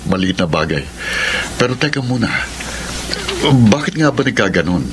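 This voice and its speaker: A man's voice: on the low side, big pitch swings, moderate pace, noisy background. A man playing a bewildered, forgiving betrayed partner in a Filipino radio soap, earnest and questioning, with a Filipino accent. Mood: calm, forgiving.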